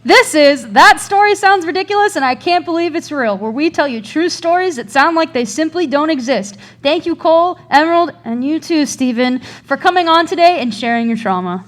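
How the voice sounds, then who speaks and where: A young woman playing a chatty female host of a tall-tale interview show in a radio play. Her voice sits high as she questions guests in scripted banter. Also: animated, brisk, hardly any pauses. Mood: upbeat, wrapping up. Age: young adult. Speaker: actor in student radio play.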